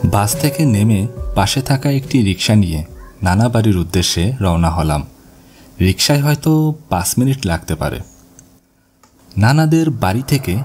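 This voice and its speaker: A man reading scripted first-person ghost story narration for an animation. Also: on the low side. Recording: music bed behind the voice. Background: music.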